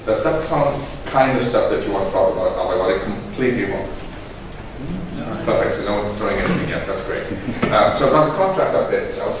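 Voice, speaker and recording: A man's voice: on the low side, animated, brisk. A man, a family doctor and guest speaker, giving a conversational talk to a room of listeners while getting over a cold. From northern England. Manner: conversational, interactive, asks for a show of hands. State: recovering from a cold. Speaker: GP and medical director, guest speaker. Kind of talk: conference presentation to an audience. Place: large dim hotel function room. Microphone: handheld mic, filmed from a distant camera. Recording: echoey room from far off, noisy.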